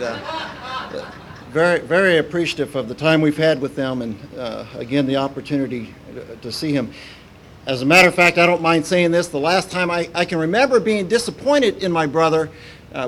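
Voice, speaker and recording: A man in his forties, raised in Kentucky, opening a sermon as a visiting minister in a halting, informal way full of "uh" and restarts. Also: fairly high pitch, a bit bright, a little hiss or hum. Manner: halting, many "uh" fillers and restarts.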